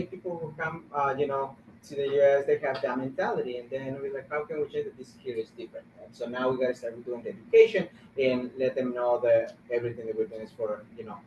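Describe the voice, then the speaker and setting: A man gives an informal webinar talk as an organizer. He speaks haltingly, searching for his words. The audio is roomy, a little dull and distant, with faint noise behind him. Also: mid-range voice. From Guatemala. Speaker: community organizer on a panel.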